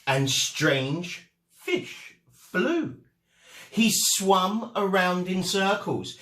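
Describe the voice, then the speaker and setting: A man narrating a children's storybook in an expressive, deliberate and dramatic way as a storytime reader. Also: high-pitched, roomy sound.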